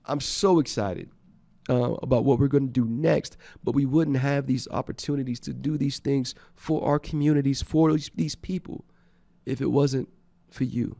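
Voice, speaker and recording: A man's voice: mid-range voice, steady tone, moderate pace, vocal fry, warm tone, no echo. A congested man hosting a radio show, giving enthusiastic, rambling thank-yous in casual chat. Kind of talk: casual radio shout-outs. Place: radio studio.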